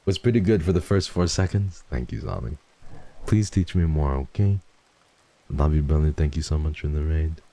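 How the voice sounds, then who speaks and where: A man streaming a casual chat with viewers, swearing freely and jumping from low mumbles to excited high yelps. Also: normal speed.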